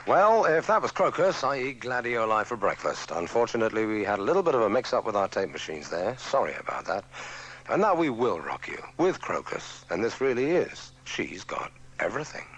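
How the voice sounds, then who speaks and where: A man's voice: medium pitch, very animated, a little fast, fairly bright tone, slight background noise, low-quality recording. A man presenting records live on the radio in DJ-style links.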